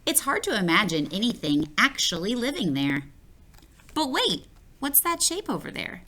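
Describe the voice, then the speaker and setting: A woman reading a picture book aloud for children, playful and expressive, talking straight to the listener. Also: on the high side, moderate pace. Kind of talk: read-aloud storytelling for children.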